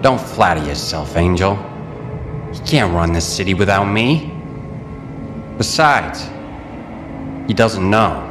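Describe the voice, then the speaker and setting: Low-voiced, arrogant gangster played by a man. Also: expressive, slow, pauses often. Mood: smug menace. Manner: smug, dismissive. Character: arrogant, cool male gangster talking to his lover. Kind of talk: audio drama acting. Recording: roomy echo, music underneath.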